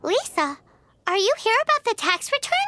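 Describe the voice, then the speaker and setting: Chirpy little fairy sidekick, very high and sing-song, cheeky. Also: female voice, big pitch swings, moderate pace, sharp tone, a little hiss or hum, exaggerated voice. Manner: bubbly, cheeky, speaks of herself in third person. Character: tiny floating fairy companion girl, chirpy and nosy. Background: game music.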